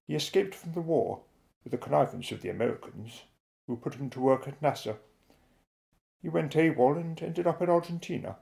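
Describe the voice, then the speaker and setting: A man acts out a middle-aged British inventor in an audio drama. His voice is gravelly with fry, and he explains his daring schemes in a clipped, declamatory way, self-assured and a little secretive. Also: medium pitch, darkish tone, lightly acted.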